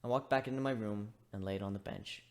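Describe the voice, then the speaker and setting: A man reading first-person fan fiction as narrator in a subdued, hushed delivery. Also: lowish voice, a little fast. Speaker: story narrator.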